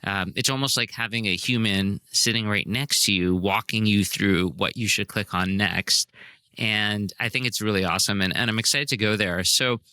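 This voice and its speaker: A man's voice: on the low side, moderate pace, pushed and bright, clean tone, no room sound. A man hosting a podcast who talks casually and eagerly, often stumbling and repeating words. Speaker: podcast host. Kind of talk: casual interview talk.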